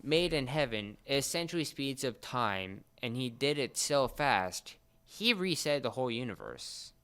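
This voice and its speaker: A man chatting casually as a fan, often halting and searching for his words. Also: medium pitch, very animated, fairly bright tone, clear, resonant tone.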